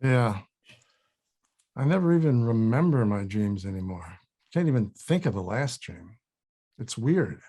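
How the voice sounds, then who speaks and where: A man acts out an older actor, weary and wry, in a comedy-drama table read. He talks to an old friend in a loose, conversational way, at a middling male pitch. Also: lively intonation, unhurried, pauses often, dark tone. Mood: wry, tired.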